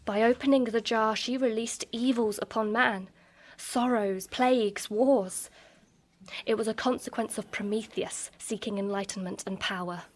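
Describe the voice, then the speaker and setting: A woman playing a mysterious, teasing young beauty who tells an ancient myth in a measured, suspenseful way for a radio drama. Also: a little high, fairly even intonation, natural voice. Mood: solemn storytelling. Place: live on a festival stage.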